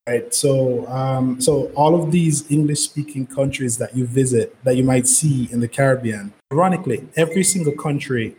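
A man from Jamaica with a New York accent, a podcast guest chatting casually about politics and thinking aloud with many pauses. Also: medium pitch, mellow, slight background noise, rough recording.

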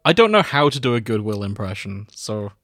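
A man's voice: on the low side, sing-song, brisk, a crowd in the background. A man chatting casually as co-host of a comic reading show. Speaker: co-host and reader. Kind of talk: casual chat.

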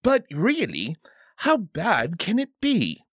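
A man's voice: medium pitch, huge pitch swings, measured pace, breathy edge, no echo, studio quality, heavily acted. A man voicing a flustered, petulant and curious king in a storybook, with a fussy, pleading manner.

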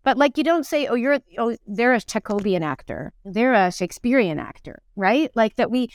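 A woman aged fifty talking as an actor being interviewed, telling things in an animated, emphatic conversational way. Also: a little high, moderate pace, no echo. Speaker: interview guest, stage actor in musicals and plays.

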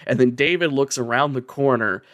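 A man with an average-pitched voice hosts a casual podcast. He is wry and joking and swears freely, recorded close and dry with no room sound. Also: moderate pace, a bit bright, dry recording. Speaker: podcast host.

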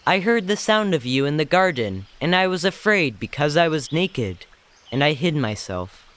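A man playing Adam, an innocent and earnest first man, in an expressive Bible audio drama performance. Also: fairly high pitch, moderate pace. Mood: afraid, defensive.

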